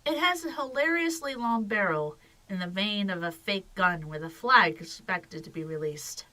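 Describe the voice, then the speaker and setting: A woman's voice: medium pitch, animated, normal speed, pushed and bright, rough recording. A woman narrator reading scripted storytelling for an audio drama.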